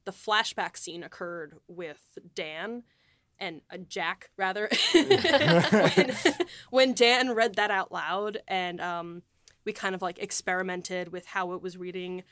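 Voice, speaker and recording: A woman's voice: lowish voice, takes it slowly, a bit bright, dry recording. A young woman in a casual, reflective group chat, effusive and often hesitating around the word "like". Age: young adult. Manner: effusive, hesitant phrasing with frequent "like". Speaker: podcast co-host, writer and producer.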